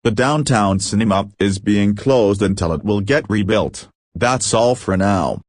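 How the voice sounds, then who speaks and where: A man delivers scripted breaking news in a mock emergency broadcast. His voice sits on the low side. The sound is very dry, with no room around it. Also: measured pace, a little mellow, no room sound.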